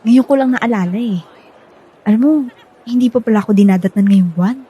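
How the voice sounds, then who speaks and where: An actress playing a wistful, easily discouraged young wife in a Filipino radio drama, emotive and plaintive with a Filipino accent. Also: female voice, mid-range voice, a little fast, warm tone, breathy edge, natural voice. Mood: wistful, hopeful. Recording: music bed under the voice.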